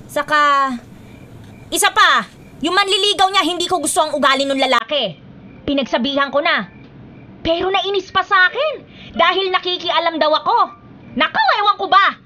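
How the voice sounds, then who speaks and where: A woman's voice: very high voice, expressive, moderate pace, sharp tone, heavily acted. A woman playing a stern, exasperated middle-aged aunt in a Filipino radio drama, scolding with melodramatic emphasis. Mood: weary, complaining.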